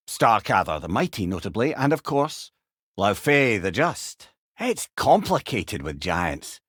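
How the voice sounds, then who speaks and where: An actor playing a witty, learned old storyteller god in a video game, with a Scottish accent and wry, eloquent, old-fashioned phrasing. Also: male voice, medium pitch, no echo, clean studio sound. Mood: grave, storytelling. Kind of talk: video game character dialogue, storytelling banter.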